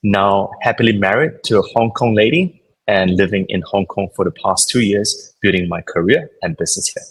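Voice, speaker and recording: A man's voice: on the low side, moderate pace, breathy edge, poor audio. A man who grew up in Singapore, a guest coach on a podcast, explaining his ideas thoughtfully with frequent fillers. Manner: thoughtful, explanatory, with fillers and non-native grammar. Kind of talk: conversational interview answers.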